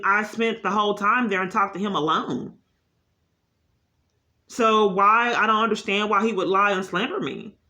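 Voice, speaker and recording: Insistent woman caller with a bright voice. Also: medium pitch. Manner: insistent, long-winded, repeating herself. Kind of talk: unscripted phone complaint.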